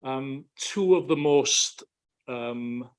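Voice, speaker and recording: Middle-aged Welsh academic, casual lecture delivery with ums, mid-pitched. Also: male voice, extremely slow. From Wales. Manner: conversational, with frequent ums and restarts. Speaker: academic speaker. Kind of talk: lecture talk.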